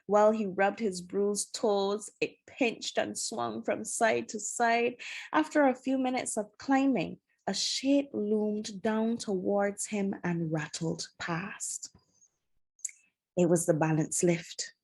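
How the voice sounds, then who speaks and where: Woman reading a kids adventure book out loud, mid pitched voice, dramatic storyteller style. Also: takes it slowly, gravelly, slightly rough. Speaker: storybook reader. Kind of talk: scripted narration, children's adventure novel read aloud.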